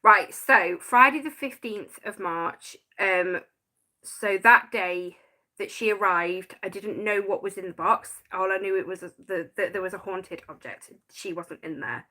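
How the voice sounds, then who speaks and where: A woman's voice: medium pitch, unhurried, pushed and bright. A woman, chatting casually on a live stream about her notes and laughing often. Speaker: paranormal channel host.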